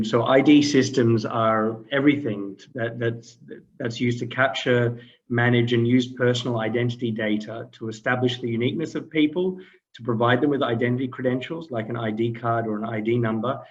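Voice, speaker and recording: A man's voice: mid-range voice, dull audio. A man from Australia, giving a hesitant explanatory answer on a webinar, often repeating words. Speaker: webinar panelist.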